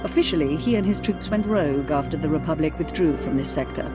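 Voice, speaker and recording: A woman's voice: low-pitched, fast talker, close to an everyday voice. Stern, commanding Imperial governor voiced by a woman. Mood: cool, briefing. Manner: formal, clipped, commanding. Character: stern, calculating Imperial governor briefing an agent. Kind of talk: video game voice acting, briefing. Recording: loud music under the voice.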